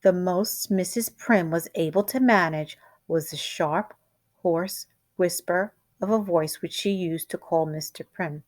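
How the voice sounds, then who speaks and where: Female storybook reader, teacherly and expressive, unhurried pace, deliberate dramatic delivery for young children. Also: lowish voice. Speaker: teacher reading a picture book aloud.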